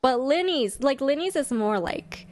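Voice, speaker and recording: A woman streamer giving casual, chatty fan commentary to her live chat in an expressive, slangy way. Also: high voice, unhurried, dry recording. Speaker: streamer hosting a ranking video.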